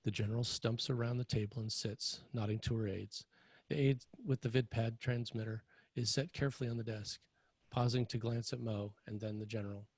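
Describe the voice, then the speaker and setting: A man narrating scene directions for a screenplay table read in a scripted style, with a husky voice. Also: medium pitch, fairly even intonation, brisk, darkish tone, no echo. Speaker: narrator reading stage directions.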